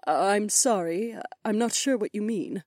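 A woman playing a soft-spoken, steady young princess and priestess in an audiobook drama. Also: medium pitch, normal speed, no room sound, studio quality, natural voice. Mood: puzzled.

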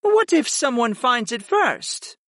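Female succubus servant, acted by a narrator, high sighing purr, submissive and wounded, very lively pitch, fantasy audiobook. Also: slow pace, no room sound, clean studio sound, full character voice. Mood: reluctant, coy. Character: devoted, yearning female winged succubus servant.

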